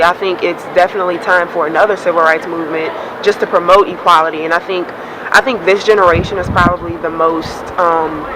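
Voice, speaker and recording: A university senior woman in her early twenties answering interview questions hesitantly, with many pauses and restarts. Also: on the low side. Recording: background noise.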